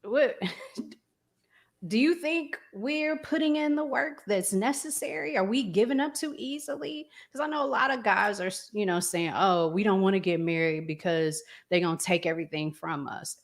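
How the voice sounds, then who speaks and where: A woman with a Southern American accent hosting a casual talk show, chatty and prone to pausing and restarting. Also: medium pitch. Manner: chatty, pauses and restarts a lot. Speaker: show host. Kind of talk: casual podcast chat.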